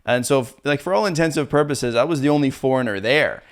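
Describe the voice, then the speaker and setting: A man's voice: mid-range voice, fast talker. A man hosting a casual interview, chatty and loose, with many fillers and easy laughs. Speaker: interview host.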